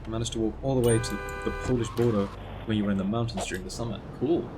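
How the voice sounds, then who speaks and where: A man's voice: on the low side, fairly even intonation, rapid-fire, noisy background. A man from the Netherlands giving casual live commentary while streaming a driving game, chatting with viewers and laughing at his own crashes.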